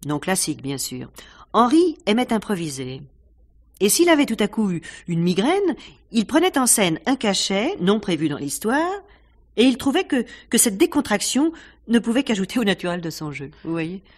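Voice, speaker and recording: An actress playing a composed, worldly theatre wife in a French radio mystery, answering questions with dry, knowing candour. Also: female voice, mid-range voice, sing-song, normal speed. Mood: explanatory. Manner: matter-of-fact, knowing, dryly candid.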